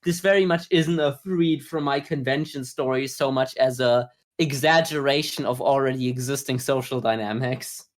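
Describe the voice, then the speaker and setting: Guy cohosting a review podcast, middle pitch, casual but explains stuff step by step. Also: moderate pace, few pauses. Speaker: podcast co-host.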